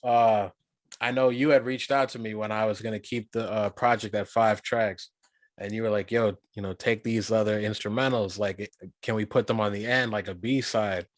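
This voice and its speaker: A man's voice: fairly low pitch, steady tone, moderate pace, no echo. Easygoing chatty man, dry close sound. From Guyana. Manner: easygoing, chatty, slangy storytelling. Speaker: podcast co-host and rapper. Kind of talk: casual podcast interview.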